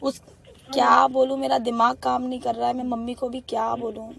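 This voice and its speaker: A woman's voice: fairly high pitch, little pitch movement, fairly quick, gravelly, a crowd in the background. A young woman talking informally on a recorded phone call in an agitated, pleading and insistent way. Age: young adult.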